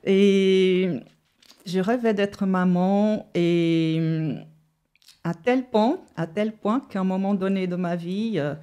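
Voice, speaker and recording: Woman, interview guest, unhurried, dark mellow tone, hesitant explaining. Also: medium pitch, clear, resonant tone. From Brazil. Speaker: guest giving testimony. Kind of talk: personal testimony in an interview. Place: studio set.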